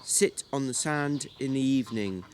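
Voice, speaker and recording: Brief: male narrator, mid-pitched, for literary fiction, deliberate and measured, dramatic delivery. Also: a little hiss or hum. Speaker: narrator reading a novel.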